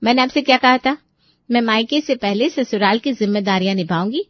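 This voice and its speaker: A woman's voice: a little high, a little fast, no room sound, highs cut off, natural voice. A woman voicing a cheerful, chatty young daughter-in-law with a teasing, sweetly insistent manner in an audio story. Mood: teasing, pointed. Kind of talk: acted audio story dialogue.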